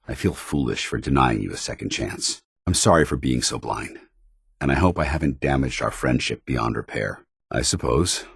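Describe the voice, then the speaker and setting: A man playing a stern, earnest soldier who is secretly synthetic, speaking in a formal, measured military manner for a video game. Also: very deep voice, husky, dry recording, clean studio sound, heavily acted. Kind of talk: video game companion dialogue, dramatic acting.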